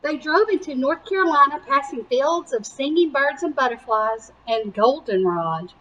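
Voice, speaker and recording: A woman reading a picture book aloud to young children with animated emphasis and simple explanatory asides. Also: high voice, moderate pace, muffled recording. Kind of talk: slow, expressive children's read-aloud.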